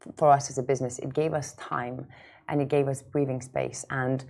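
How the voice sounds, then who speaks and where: Very low-pitched woman, emphatic talker. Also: flat delivery, a little fast, gravelly, darkish tone, slight background noise. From Lithuania. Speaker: startup founder, podcast guest. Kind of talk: conversational business interview.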